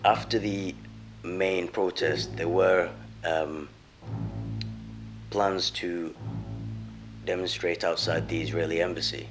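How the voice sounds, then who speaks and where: A young English man giving an earnest documentary interview, often pausing with ums as he recounts events. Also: sits low, steady tone. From England. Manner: earnest, pauses with frequent ums. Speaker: interviewee, former protester. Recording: music bed underneath.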